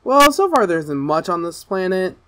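A woman playing an excitable, mischievous gamer girl streamer who gleefully torments people in a livestream roleplay. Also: fairly low pitch, normal speed. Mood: mischievous, smug.

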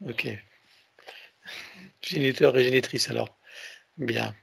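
A man in his sixties, a hypnotherapist on a headset video call, asking calm probing questions between short acknowledgments. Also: mid-range voice, takes it slowly, gravelly, breathy edge, no room sound. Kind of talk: therapy intake interview.

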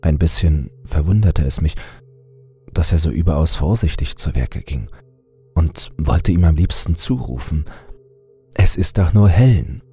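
Creepypasta narrator: man, extremely low and dark voice, scripted storytelling. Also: animated, a little slow, husky, faint music behind.

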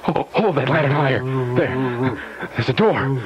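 A man's voice: medium pitch, lively intonation, a little slow, dull audio, crowd noise, natural voice. A man acting an impatient, morbidly curious doctor in a radio horror drama, commanding and intense. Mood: horrified fascination. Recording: 1970s radio drama over loud music.

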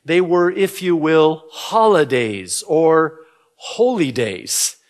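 A middle-aged man, a native Arabic speaker, preaching as a pastor in a measured, explanatory way, repeating key words deliberately. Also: fairly high pitch, lively intonation. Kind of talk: sermon, Bible prophecy teaching. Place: indoor church, at a lectern. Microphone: gooseneck lectern microphone.

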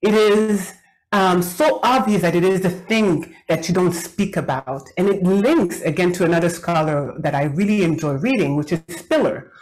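A woman's voice: fairly low pitch, normal speed, a little mellow, rough recording. A middle-aged woman from Quebec whose first language is French, giving academic commentary on a book over a video call from a home office, thinking aloud with many fillers and restarts. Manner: thoughtful, with frequent fillers and restarts. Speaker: academic discussant. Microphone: video call webcam.

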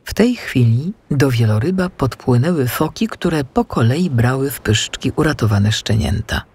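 A man narrating a children's audiobook in an expressive, dramatic storytelling manner. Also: mid-range voice, normal speed, breathy edge.

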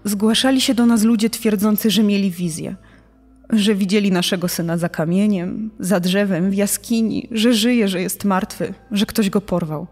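A woman's voice: medium pitch, normal speed, darkish tone, close to an everyday voice. A woman playing a bereaved mother giving sorrowful, resigned testimony in a true-crime podcast dramatization. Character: bereaved mother recalling search for missing son. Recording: long hall reverb, music underneath.

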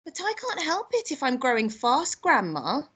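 A woman's voice: high-pitched, wildly swooping pitch, normal speed, fairly bright tone, pitched above the performer's own voice, full character voice. A woman voicing a polite, squeamish eight-year-old boy in a storybook, plaintive and protesting. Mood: pleading, timid.

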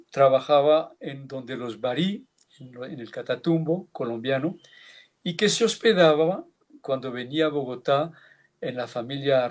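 A man in his seventies from France, giving a measured, reflective tribute speech over a video call, with small hesitations. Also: fairly high pitch. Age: 70s. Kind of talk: formal reminiscence, partly read.

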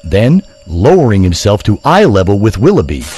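A man narrating a scripted story in a measured, literary manner. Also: fairly low pitch, very animated, darkish tone, a little hiss or hum.

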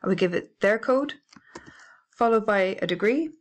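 A woman with a British accent narrating a library software tutorial, clear and methodical, spelling out codes letter by letter. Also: mid-range voice, expressive, moderate pace. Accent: British English. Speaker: library staff trainer. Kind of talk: instructional screencast narration.

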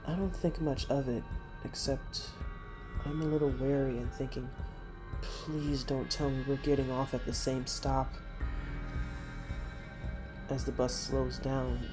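Mellow narrator, mid-pitched voice, scripted first-person storytelling, measured phrasing, soft music underneath. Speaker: narrator reading a listener-submitted story.

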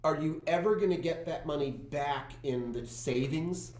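A man's voice: on the high side, normal speed, darkish tone, reverberant. A man in his forties hosting a museum talk in a historic house parlor, explaining with emphasis. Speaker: museum host. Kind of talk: panel conversation.

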